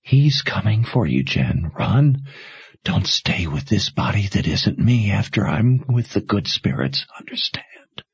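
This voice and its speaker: Male reader playing a gentle dying mother, hushed and breathy, slow. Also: fairly low pitch, sing-song, no echo, voice pushed up, full character voice. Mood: urgent tender. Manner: soft, breathy, whispered urging.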